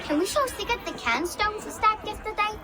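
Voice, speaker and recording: A woman's voice: very high voice, expressive, fairly quick. A female performer playing an earnest, dutiful and polite young child in a Viking game. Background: music and crowd.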